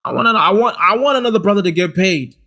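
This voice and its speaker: A man's voice: high voice, quick pace. A man co-hosting a podcast, chatting casually and animatedly, often restarting his sentences. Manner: animated, frequent restarts and stammers. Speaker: podcast co-host. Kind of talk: casual chat.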